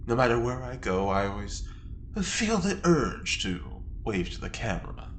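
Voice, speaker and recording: Wry older prisoner, audio drama. Very deep voice, slow theatrical drawl, swooping and teasing. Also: female voice, very animated, slight background noise. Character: charming, wry older prisoner, theatrical self-styled rebel.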